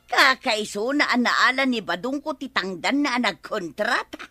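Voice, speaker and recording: An actress with an Ilocano accent playing a crafty village wife with a gambling habit in a radio comedy drama. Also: female voice, a little high, lively intonation, unhurried, sharp tone, no echo, full character voice. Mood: defensive.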